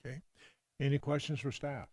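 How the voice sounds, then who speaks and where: A man's voice: medium pitch, little pitch movement, a little fast, a little mellow, slight background noise. Man chairing a commission meeting. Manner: brief, procedural.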